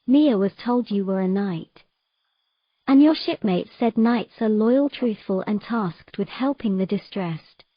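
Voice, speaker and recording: A woman plays a young mermaid in a fantasy audio romance, vulnerable and quick to blame herself. Her mid-pitched voice is earnest and pleading, recorded very dry and a little dull. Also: dry recording, close to an everyday voice. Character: young mermaid Maya, distressed, self-blaming, vulnerable.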